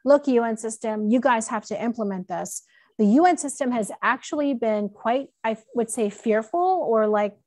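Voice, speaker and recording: A woman's voice: on the high side, lively intonation, normal speed, a little mellow, no echo, studio quality. A woman giving a conversational academic talk over a video call, in an informal and frank way. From Alberta, Canada. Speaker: keynote speaker, law professor. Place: indoor room at home, on a video call. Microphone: computer mic on a video call.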